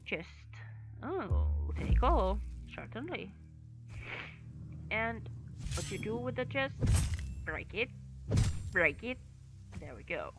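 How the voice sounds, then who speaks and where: A game streamer talks slowly through a play session, their pitch swinging up and down as they tease the animals and enemies they meet. Loud game music plays under the voice throughout. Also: huge pitch swings. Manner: chatty, teasing the game's creatures. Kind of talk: casual gameplay commentary.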